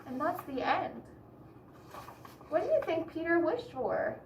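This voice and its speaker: A woman reading a picture book aloud expressively to children, pausing to explain and ask the listeners questions. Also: extremely high pitch, sing-song, highs cut off. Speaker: children's story reader. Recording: roomy echo, distant mic, background hiss.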